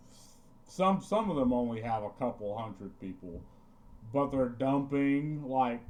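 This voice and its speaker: Brief: male streamer, halting rambling commentary, middle pitch. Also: darkish tone. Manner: rambling, halting, repeats himself. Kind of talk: live reaction commentary.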